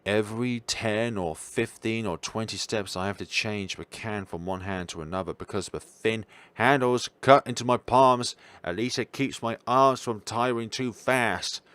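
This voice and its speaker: A man's voice: on the low side, a bit bright, clear, resonant tone, no echo. A man reading a visual novel aloud as a let's player, giving dramatic scripted narration with occasional stumbles and repeated words.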